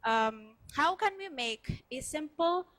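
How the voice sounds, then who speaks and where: A woman in her twenties with a Cebuano accent, answering as a student guest, halting with many ums and self-corrections. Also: high-pitched, lively intonation, a bit bright. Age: 20s. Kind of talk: live interview answers.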